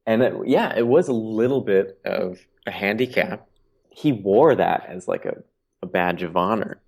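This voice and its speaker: Deep-voiced earnest man, artist guest. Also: animated, normal speed, gravelly, darkish tone, slightly rough. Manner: earnest, philosophical. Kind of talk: podcast conversation.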